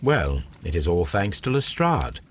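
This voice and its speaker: An actor playing an aloof, analytical Victorian gentleman detective in a video game, with a British accent and a precise, formal, lecturing manner. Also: low-pitched, sing-song, a little slow, heavy background noise. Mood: confident, deductive. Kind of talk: scripted video game acting.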